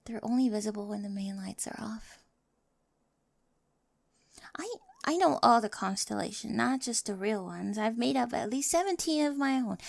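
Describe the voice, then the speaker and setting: A woman's voice: a little high, creaky, darkish tone, dry recording. A woman in an ASMR roleplay, playing a quirky, awkward teenage girl with a crush who rambles nervously and stammers to the listener. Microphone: close microphone, dry.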